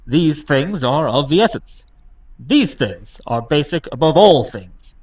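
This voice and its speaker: Man acting a pompous, lively-pitched bank president. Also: a little slow, recorded from a distance. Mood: pompous, stately. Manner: pompous, oratorical. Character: pompous male bank president dictating a speech. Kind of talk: live table read of old radio drama.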